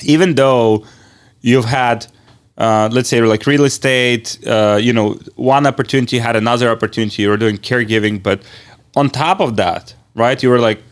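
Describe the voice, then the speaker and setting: Halting, rambling male interviewer. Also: mid-range voice. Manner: halting, rambling phrasing with repeated filler words. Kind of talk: casual conversational interview.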